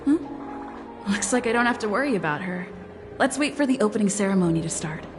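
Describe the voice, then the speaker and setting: A woman voicing a relaxed young traveler. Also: mid-range voice, brisk, music in the background, natural voice. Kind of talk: game dialogue.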